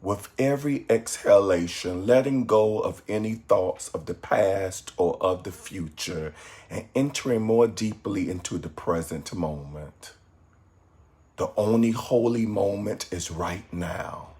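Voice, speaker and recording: A man leading a guided meditation from a script in a calm, measured, soothing way with pauses. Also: lowish voice.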